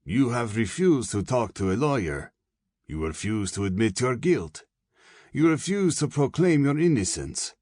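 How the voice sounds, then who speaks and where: A man voicing a plump, soft-spoken middle-aged German colonel with a German accent, courteous and probing. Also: mid-range voice, very animated, measured pace, dry recording, clean studio sound, voice pushed up.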